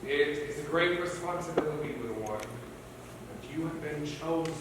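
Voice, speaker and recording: A high-pitched male Native fisherman elder speaks with measured, emphatic weight in a stage play, played by an actor. The voice comes from far across a very echoey hall with clear background noise. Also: animated, natural voice. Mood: solemn. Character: wise traditional Native fisherman elder, teasing yet solemn. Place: theatre stage.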